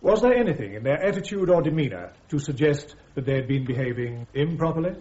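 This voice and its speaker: An actor playing a courteous, methodical army prosecutor in a radio drama, formal and precise. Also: male voice, a little high, expressive, fast talker, mellow, natural voice. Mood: crisp, formal. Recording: dull lo-fi radio drama recording.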